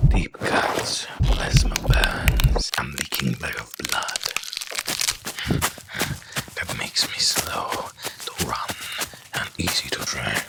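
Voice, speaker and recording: A man voicing a gruff, wounded, world-weary hunted antihero in a gravelly, breathy rasp, narrating a dramatic comic in a brooding, hard-boiled way. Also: a bass voice, full character voice. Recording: voice under loud music. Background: loud music.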